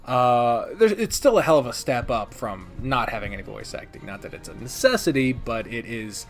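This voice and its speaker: A man's voice: medium pitch, sing-song, moderate pace, faint music behind. A man giving a casual, opinionated countdown review of games, rambling and emphatic with plenty of swearing. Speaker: video host and game reviewer.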